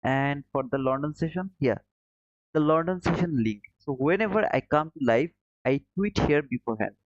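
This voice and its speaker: A man's voice: a little high, lively intonation, moderate pace, dry recording. A man from Bangladesh talks casually to his viewers, using the friendly "brother" and little chuckles between comments. The sound is dry and close, with a slightly dull, muffled quality. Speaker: trading livestream host. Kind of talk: casual live chat reading viewer comments.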